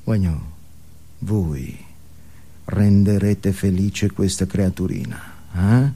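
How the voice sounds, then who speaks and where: A man's voice: low voice, slow, mellow, voice pushed down, full character voice. A man playing a brash, cynical self-help guru in a comic stage monologue, exhorting his listeners emphatically with rhetorical questions. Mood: hushed, earnest. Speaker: stage actor. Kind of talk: theatrical comic monologue as motivational speech.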